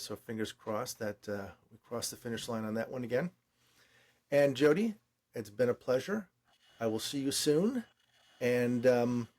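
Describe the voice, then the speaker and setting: An American man with a medium-pitched voice hosts an interview podcast. He talks in a casual, conversational style with his guest. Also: darkish tone. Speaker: podcast host.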